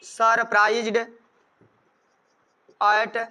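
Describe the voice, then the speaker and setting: An Indian man teaches in a strikingly high, clear voice. His delivery stays fairly even in tone as he explains grammar step by step, repeating each point for students. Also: drawn-out words. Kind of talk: grammar lesson, explaining rules with examples.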